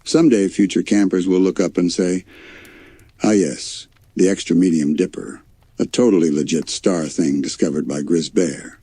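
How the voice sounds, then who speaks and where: A man's voice: sits low, steady tone, normal speed, mellow, slightly rough, no echo. A man voicing a goofy, boastful young male cartoon bear in a bedtime story, enthusiastic and slangy. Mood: proud.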